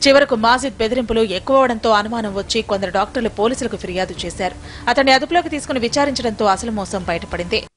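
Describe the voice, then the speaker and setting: A woman's voice: fairly high pitch, fast talker, slight background noise. A woman reading a scripted news voice-over in a dramatic, emphatic manner. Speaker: news reporter doing voice-over.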